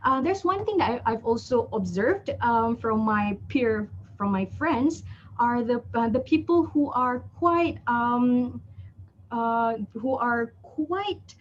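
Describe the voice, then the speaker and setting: A woman's voice: on the high side, animated, takes it slowly, a little hiss or hum. A young woman student speaking earnestly on an online panel, over a video call, with many fillers. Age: young adult. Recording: dull video call audio.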